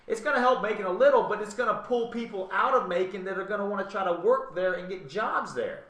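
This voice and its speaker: A man's voice: high-pitched, lively intonation, fast talker, a bit bright. An American man co-hosting a live talk show, arguing his opinions in casual, emphatic, insistent speech. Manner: insistent, emphatic, repeats points, mild swearing. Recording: roomy, echoey live webcast.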